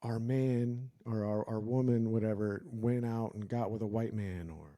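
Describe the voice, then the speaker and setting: Mellow-voiced man rambling casually. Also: on the low side, fairly even intonation, moderate pace. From United States. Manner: rambling, joking, many fillers and restarts. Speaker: podcast co-host. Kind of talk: casual chat.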